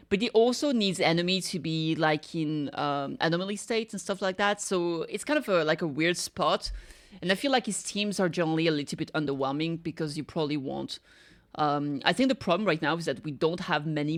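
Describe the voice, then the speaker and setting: A woman with a slightly low voice chats casually as a game reaction streamer. She rambles and hedges, thinking aloud as she goes.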